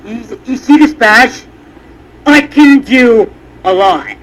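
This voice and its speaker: A man's voice: extremely high pitch, expressive, slow pace, a bit bright. A man who is a police officer, giving orders in a confrontational, commanding way. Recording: muffled camera recording, faint noise.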